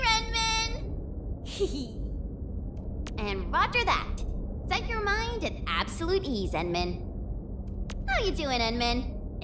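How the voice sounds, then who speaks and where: A woman's voice: sits high, noisy background, heavily acted. A young woman playing an eager, cocky martial-arts sword fighter in a video game, with a peppy, bold, playfully boastful manner. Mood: cheerful, friendly. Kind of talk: video game character voice lines.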